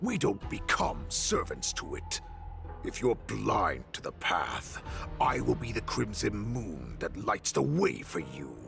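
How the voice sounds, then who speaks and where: A wolf warlord for a video game, heavily gravelly and wildly swinging in pitch. He declaims with grandiose menace, bloodthirsty and commanding his pack, over loud music. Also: wildly swooping pitch, slow, full character voice. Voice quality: gravelly growl. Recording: music under the voice.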